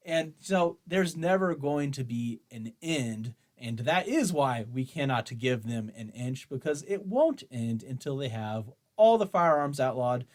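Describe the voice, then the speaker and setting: A man hosting an opinion show, reading aloud and reacting in an argumentative, mocking way. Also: medium pitch. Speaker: host of a commentary show on guns.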